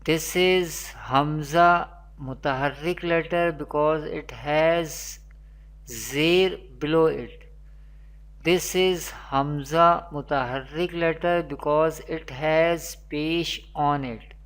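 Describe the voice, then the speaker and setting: Quran teacher, unhurried careful delivery, repeats each rule, online lesson. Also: mid-range voice. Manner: slow, repetitive teaching delivery with Arabic recitation.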